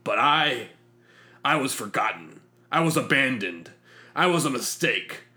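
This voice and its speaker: Man, medium pitch, bright forceful tone, pompous theatrical delivery, scripted comic sci-fi narration. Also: big pitch swings, unhurried. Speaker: narrator reading a fictional diary.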